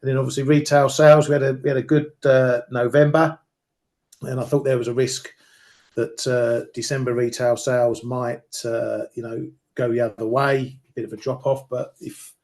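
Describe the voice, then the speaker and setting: A man's voice: mid-range voice, level tone, moderate pace, darkish tone. A man, a market analyst, discussing the news and economic data in a casual, hesitant way with many fillers.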